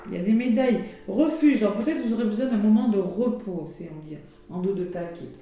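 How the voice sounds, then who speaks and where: A hoarse woman giving a casual weekly astrology card reading, chatty and full of fillers and exclamations. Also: mid-range voice, fairly quick, dark tone. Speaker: tarot and oracle card reader. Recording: very echoey room with faint music.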